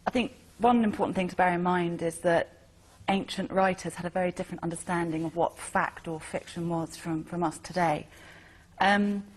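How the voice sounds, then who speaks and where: A woman historian of the Bible answering interview questions in a measured, careful way with hesitant pauses. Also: on the low side.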